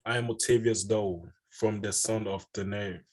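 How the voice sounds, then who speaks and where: Teen boy from liberia, low-ish voice, soft and measured. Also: steady tone. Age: teens. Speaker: youth leader presenter. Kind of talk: scripted youth talk.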